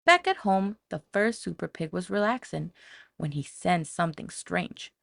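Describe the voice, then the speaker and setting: Low-pitched, creaky woman storyteller. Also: moderate pace, no echo, studio quality. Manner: dramatic, expressive storytelling. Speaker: audiobook narrator. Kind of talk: scripted children's story narration.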